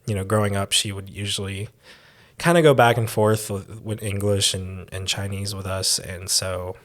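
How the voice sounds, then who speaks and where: A young man who grew up abroad, answering interview questions as a church intern, with many pauses and restarts. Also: fairly low pitch, fairly even intonation. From raised in Taiwan, born in the United States. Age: young adult. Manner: hesitant, many ums and restarts.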